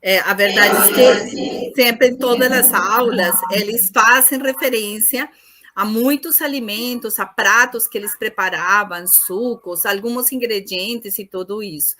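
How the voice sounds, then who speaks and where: A woman's voice: on the high side, measured pace, pushed and bright, slight background noise. A woman with a Latin American Spanish accent, a native Spanish speaker hosting a class and searching a little for words as she speaks spontaneously. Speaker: course host introducing the lecturer.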